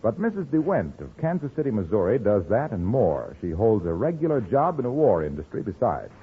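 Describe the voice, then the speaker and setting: A man's voice: fairly low pitch, big pitch swings, a little fast, breathy edge. An American man delivering a 1940s radio commercial as an emphatic, salesmanlike announcer. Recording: muffled old radio recording.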